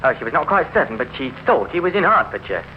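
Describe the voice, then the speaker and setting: An actor playing a courteous, cautious gentleman, polite and a little hesitant, in a 1950s radio crime drama. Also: fairly high pitch, big pitch swings, fast talker. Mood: earnest. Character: courteous, cautious friend posing as a caller. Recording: dull, noisy old radio recording.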